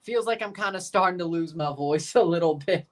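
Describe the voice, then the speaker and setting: A man hosting a livestream watchalong, calling the soccer match like a commentator and throwing dry asides to his chat. His voice is a little hoarse. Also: sits high, fairly quick. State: slightly hoarse. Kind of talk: live match reaction and play-by-play.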